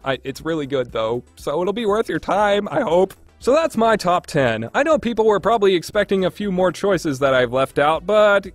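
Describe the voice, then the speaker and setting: A man's voice: high voice, very animated, moderate pace, few pauses, soft background music. A man giving a casual, opinionated game review in a blunt, conversational way. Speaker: video game reviewer hosting a podcast.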